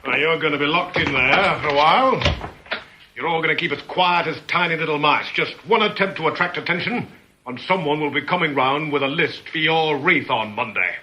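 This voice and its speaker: A stern robber in a radio play, with a forceful, slightly high male voice. He gives orders tersely and with cool menace. The old recording has faint noise. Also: fairly quick, muffled recording. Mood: menacing. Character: stern commanding male robber, controlled and impatient. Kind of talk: radio drama acting.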